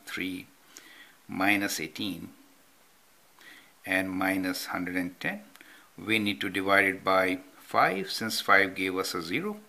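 A man's voice: deep voice, vocal fry, bright, forceful tone. A man explaining a math problem step by step as he writes it out, often checking in with "right?". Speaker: math teacher. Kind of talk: whiteboard math tutorial. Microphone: off-camera voice over handwritten notes.

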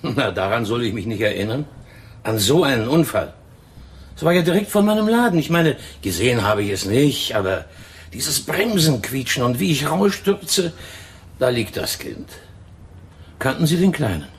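A man playing a chatty, gossipy tobacco shopkeeper in a German radio crime drama, talking in an emphatic, drawn-out way. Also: medium pitch, big pitch swings, slight background noise. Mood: chatty, recalling. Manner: talkative, emphatic, drawn-out storytelling. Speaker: tobacco shop owner in a radio play.

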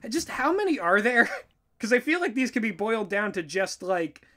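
A man co-hosting a casual reaction commentary in an animated, exasperated manner. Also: high-pitched, moderate pace. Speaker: co-host, writer reacting to writing tips.

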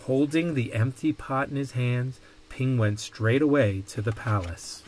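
A man reading a children's picture book aloud with deliberate, dramatic phrasing. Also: mid-range voice, a little mellow, a little hiss or hum, poor audio. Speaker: storybook reader.

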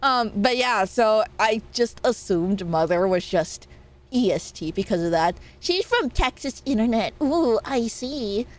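A woman's voice: a little high, lively intonation. A young woman streamer with a nasal voice, chatting casually and playfully with her viewers. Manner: rambling, playful, quick laughs and swearing. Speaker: VTuber streamer.

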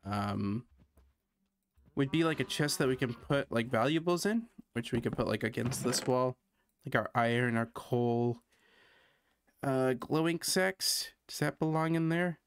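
A man from Canada streams a sandbox game and talks through every step as he goes. His voice sits at an ordinary mid pitch, and he thinks aloud in a relaxed, self-mocking way. A faint hiss runs under the home recording. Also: unhurried. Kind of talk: casual gameplay commentary.

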